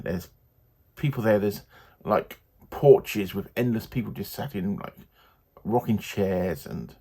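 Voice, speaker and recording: A man giving a casual, unscripted book review in a hesitant, halting, rambling way. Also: fairly low pitch, darkish tone.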